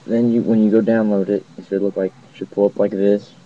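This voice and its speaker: A young man talks through a casual review in a low, monotone voice. His delivery is informal and halting, picked up by a distant mic in a muffled home recording. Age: young adult. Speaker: app reviewer. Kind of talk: casual tutorial to camera. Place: indoors, dim cluttered room at home. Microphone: laptop mic, later phone headset mic.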